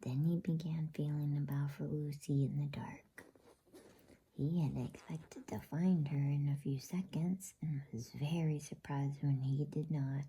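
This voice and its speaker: A woman reading a bedtime story aloud as ASMR, in a soft, slow and calm unvoiced whisper. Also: deep voice, slightly rough, low-quality recording.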